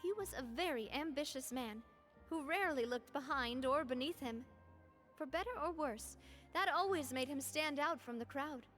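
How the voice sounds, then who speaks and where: A woman's voice: high voice, moderate pace, a bit bright. A woman voicing a confident, easygoing young woman in a video game who teases her friends and laughs often. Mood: fond. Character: confident young woman leader, warm and playful. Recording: game audio with faint music underneath.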